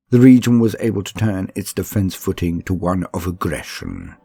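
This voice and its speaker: Male narrator, low and mellow, calm sleep-aid style book reading, steady and soothing. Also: gravelly, breathy edge, no echo. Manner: measured, soothing, unhurried storytelling.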